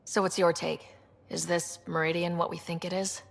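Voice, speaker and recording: An actress playing a composed, supportive expedition leader in a video game, conversational and understated with a gravelly edge. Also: female voice, on the low side, level tone, normal speed, in a large hall. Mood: steady. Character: composed, supportive young woman expedition leader with dry wit.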